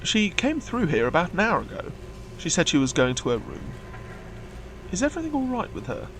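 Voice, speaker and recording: A man's voice: high-pitched, huge pitch swings, a little fast, noisy background. A man playing a polite, bookish nonhuman crewmate in a science-fiction audio drama, speaking formally and precisely. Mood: polite, concerned.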